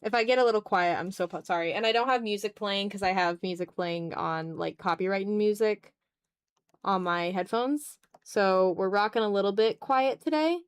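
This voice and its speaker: A tired woman with a mid-pitched voice hosts a casual drawing stream. She talks in a self-deprecating way, drifting into mutters to herself. The sound is close and dry, with very little room in it. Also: normal speed, smooth, no echo. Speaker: art livestreamer and host. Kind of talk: casual livestream chat while drawing.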